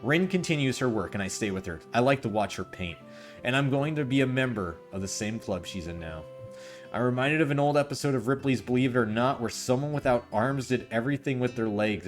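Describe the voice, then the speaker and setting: Ironic playful male streamer. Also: fairly low pitch. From Canada. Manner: ironic, playful, self-mocking. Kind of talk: reading a visual novel aloud and chatting with chat.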